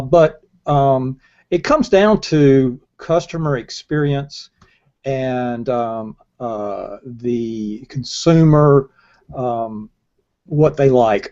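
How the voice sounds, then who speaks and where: An older man on an online panel, speaking in a hesitant, halting way over a video call. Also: mid-range voice, mellow. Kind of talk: panel discussion. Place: indoors against a dark backdrop. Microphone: earphone headset on video call. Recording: dull, lo-fi video call audio.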